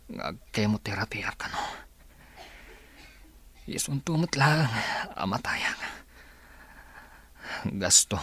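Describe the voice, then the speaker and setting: An actor playing a bitter, stubborn sick man in a radio drama, grumbling wearily in a husky voice. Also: fairly low pitch, fairly bright tone, heavily acted. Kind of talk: Ilocano radio drama acting.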